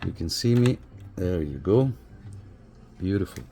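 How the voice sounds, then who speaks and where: A man's voice: sits low, expressive, a little slow, dark tone. A man giving a casual hands-on review as a hobbyist, thinking aloud as he works, with hesitations and repeated phrases. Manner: hesitant, repetitive, thinking aloud while working. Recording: clear background noise.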